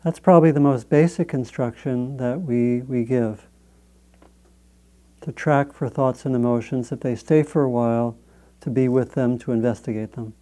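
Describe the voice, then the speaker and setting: A man guiding a meditation as a teacher, speaking in a measured, gentle way with long pauses between phrases. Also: mid-range voice. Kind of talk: guided meditation instruction.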